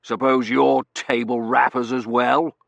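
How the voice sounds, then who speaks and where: A man voicing a gruff older Victorian colonel in an audiobook, barking in clipped fragments that drop their subjects. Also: mid-range voice, little pitch movement, unhurried, fairly bright tone, dry recording, pitched above the performer's own voice. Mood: indignant, scornful. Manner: clipped shorthand speech, dropping sentence subjects. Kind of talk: audiobook character voice.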